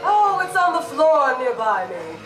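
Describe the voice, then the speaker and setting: Theatrical old-time hostess played by a woman, high swooping voice, unhurried, echoey over music. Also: very animated. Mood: showy, inviting. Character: theatrical female radio hostess announcing the acts. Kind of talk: old-time radio announcing.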